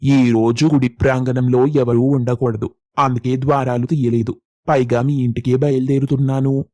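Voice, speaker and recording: A man's voice: medium pitch, little pitch movement, moderate pace, a little mellow, no room sound, clean studio sound, close to an everyday voice. A man playing a polite, matter-of-fact village priest in a moral story, explaining things courteously. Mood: polite, informative. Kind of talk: Telugu moral story dialogue.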